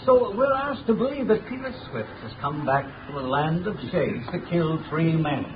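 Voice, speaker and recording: A man playing a suave, wealthy young gentleman with urbane, teasing diction in 1930s radio drama style. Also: a little high, lively intonation, moderate pace, natural voice. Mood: wry. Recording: muffled noisy 1930s radio recording.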